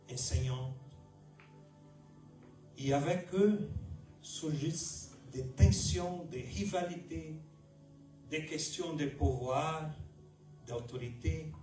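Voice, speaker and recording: A man with a Brazilian accent preaches slowly, setting his words out in short, deliberate phrases. His voice sits at an ordinary middle pitch. It reaches the mic from some distance, in a roomy, echoing space. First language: Portuguese. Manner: slow, measured delivery with pauses between short phrases. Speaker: pastor. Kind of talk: sermon.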